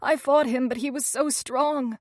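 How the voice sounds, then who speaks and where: A scared teenage girl on the run, as a woman reads her, talking in rough, broken grammar. Her voice sits high and tight in a dry, close storybook reading. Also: steady tone, a little slow, no echo. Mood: frightened. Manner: rough, ungrammatical speech. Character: frightened teenage girl, battered runaway.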